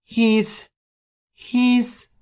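A woman's voice: low-pitched, animated, slow, a little mellow, pitched above the performer's own voice, full character voice. A woman voicing a timid, frightened, apologetic young woman in an audiobook story, speaking hesitantly. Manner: hesitant, faltering.

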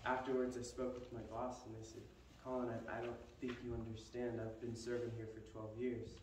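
Young guy talking earnest in a big church, flat voice, far off mic and lots of echo. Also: medium pitch. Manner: earnest, slow and halting with fillers. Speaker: missionary guest speaker. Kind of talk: church ministry update. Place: large church sanctuary, lights out. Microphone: far camera, no microphone. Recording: echoey hall from far off, background hiss.

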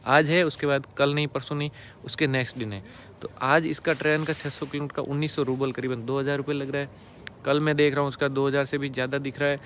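A man's voice: mid-range voice, a little hiss or hum. A young man from India talks casually to his viewers, filming himself with a phone at arm's length on an outdoor railway platform at night. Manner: chatty, addresses viewers directly, drops to a hush near sleeping passengers. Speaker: travel vlogger. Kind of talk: casual travel vlog to camera. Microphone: phone held at arm's length.

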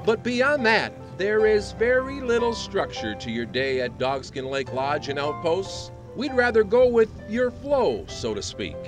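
A man's voice: high voice, expressive, unhurried, hardly any pauses. A man reading a polished, upbeat promotional voice-over. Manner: upbeat, polished sales delivery. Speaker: promotional narrator. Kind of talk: scripted voice-over narration. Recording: voice-over over loud music.

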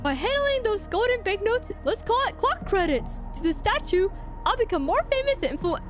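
A very high, swooping cartoon clock mascot voiced by a woman, chummy and full of boasts. The delivery is theatrical and sing-song, with music playing underneath. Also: big pitch swings, moderate pace. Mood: chummy, eager.

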